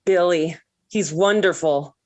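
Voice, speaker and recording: An actress playing a dreamy, melodramatic, lovesick American teenage daughter in a 1940s radio sitcom, gushing and theatrical. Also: female voice, fairly low pitch, slow pace. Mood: lovestruck. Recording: vintage 1940s radio recording.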